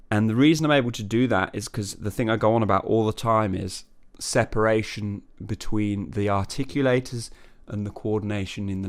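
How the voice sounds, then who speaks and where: Guy voice coach rambling thru a singing lesson, low voice, smooth and clear. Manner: relaxed, thinking aloud with many fillers and restarts. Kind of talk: singing lesson with sung falsetto demonstrations.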